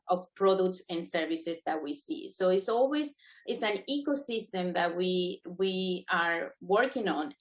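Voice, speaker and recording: Lower-pitched middle-aged woman from Venezuela. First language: Spanish. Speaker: guest speaker, adjudicating panel member. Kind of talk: prepared webinar remarks, semi-spontaneous.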